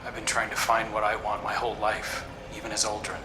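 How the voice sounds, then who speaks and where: A man's voice: on the low side, monotone, a little fast, background noise, close to an everyday voice. A man playing an earnest, determined, selfless adventurer and brother in a video game, sincere and understated. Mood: earnest, vulnerable. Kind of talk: scripted video game drama. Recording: echoey room, music underneath.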